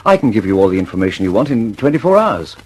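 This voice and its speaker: An actor playing a harried, determined British aircraft engineer in a radio drama, urgent and insistent, stammering slightly when flustered. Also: male voice, lowish voice, sing-song, quick pace, a little hiss or hum. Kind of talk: mid-century radio drama acting.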